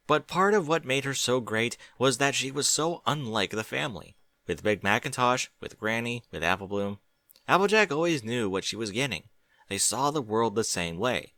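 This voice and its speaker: A man reading a fan-fiction story aloud in an animated storytelling manner. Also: medium pitch, dry recording.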